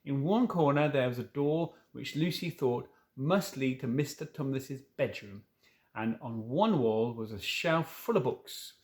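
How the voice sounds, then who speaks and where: A man's voice: mid-range voice, a little slow, a little mellow. A man reading a children's novel aloud, stumbling now and then and correcting himself, with informal asides. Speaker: storybook reader.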